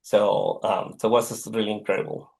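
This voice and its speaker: A middle-aged man from Mexico with a Mexican Spanish accent, answering podcast interview questions as a guest, halting and searching for words with many pauses and restarts. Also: fairly low pitch, little pitch movement, gravelly, a little mellow. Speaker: guest, technology executive.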